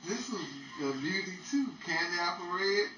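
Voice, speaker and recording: A man acts out an eager, scheming pal in old time radio style. He talks in a glib, chummy way with a bright, slightly high voice. The recording is roomy, as if from a step back from the mic. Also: moderate pace. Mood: eager coaxing. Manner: glib, coaxing. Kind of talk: 1940s old time radio comedy acting. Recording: echoey, distant mic with faint noise.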